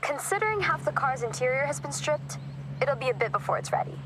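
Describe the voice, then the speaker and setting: A woman's voice: high voice, lively intonation, fast. A woman playing an upbeat, friendly car enthusiast in video game cutscene dialogue. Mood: friendly, practical. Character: excited young female car enthusiast, upbeat barn-find partner. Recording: vehicle and ambient noise behind.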